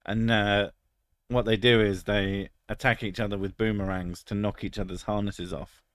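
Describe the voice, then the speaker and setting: A man's voice: lowish voice, little pitch movement, moderate pace, fairly bright tone, dry recording. A British man in his thirties chatting casually on a stream, crude and sarcastic, swearing freely. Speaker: streamer reading chat. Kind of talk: casual live stream chat.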